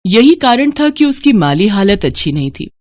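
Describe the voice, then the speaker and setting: A woman's voice: mid-range voice, big pitch swings, moderate pace, a little mellow, no room sound, clean studio sound. A woman narrating a scripted devotional story in a dramatic storytelling manner. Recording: music behind the voice. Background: background music.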